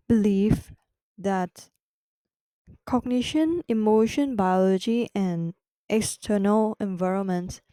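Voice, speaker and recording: A woman with a Thai accent explains a psychology lesson slowly and carefully, pausing often as she finds her words in her second language. Her tone is soft and mellow. The recording is dry and close. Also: medium pitch, no room sound, rough recording. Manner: careful, halting second-language delivery with restarts. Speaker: podcast host. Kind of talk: educational podcast explanation.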